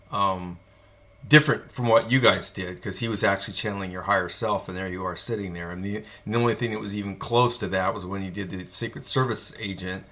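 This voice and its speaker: A man with a fairly low voice interviews his guest in a slow, halting way. He gropes for words with many ums and uhs and often restarts his questions. His tone stays conversational throughout. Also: bright, forceful tone. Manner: halting, with many fillers and slow, searching phrasing. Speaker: interviewer and host.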